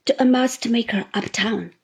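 A woman doing a quiet old fisherman. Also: steady tone, moderate pace, vocal fry, breathy edge, full character voice. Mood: gentle. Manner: low, quiet, homely dialect speech.

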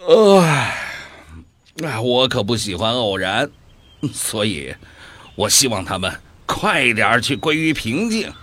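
A man playing a bored, mocking devil in an audio drama, with a theatrical, drawn-out delivery. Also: mid-range voice, big pitch swings, fairly bright tone, full character voice. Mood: weary, annoyed. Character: mocking, bored male devil who favours death.

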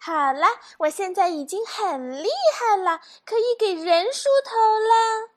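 A woman voicing a playful, stubborn little princess in a children's audio drama, with cute, expressive childlike delivery. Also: very high-pitched, measured pace, soft background music. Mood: cheerful.

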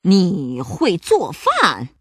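Woman voicing bossy, forceful pirate captain Hank. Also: mid-range voice, sing-song, slow pace, no echo, studio quality, full character voice. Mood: mocking. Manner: commanding, emphatic.

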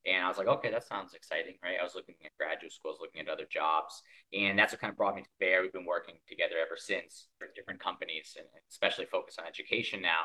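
A man's voice: low voice, fairly even intonation, racing, hardly any pauses, bright, forceful tone, poor audio. A man from Connecticut with an American accent, casually telling his own story on a podcast. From Connecticut, United States. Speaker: podcast co-host.

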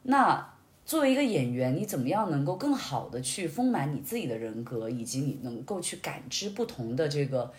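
Low-voiced, expressive woman in casual conversation. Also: normal speed. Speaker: podcast guest, amateur acting student.

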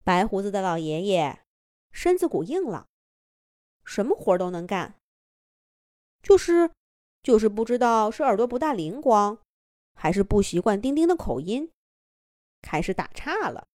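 Woman children's storyteller, gentle and animated, mid-pitched, dry close recording. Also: normal speed, long pauses, dry recording. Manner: gentle, expressive storybook delivery. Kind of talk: scripted children's story narration.

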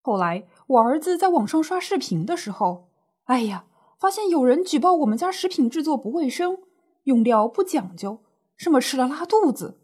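Actress as older neighbourhood auntie running a snack shop: high voice, big swings, plaintive and indignant, radio drama. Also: female voice, big pitch swings, moderate pace, darkish tone. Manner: plaintive, exclaiming, colloquial grumbling.

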